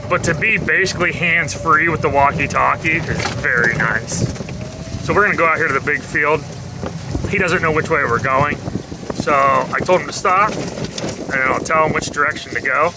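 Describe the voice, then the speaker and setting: A man's voice: fairly high pitch, normal speed, noisy background. A man giving a casual, informal product demonstration to viewers in an outdoor review vlog. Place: outdoors, partly riding in a vehicle.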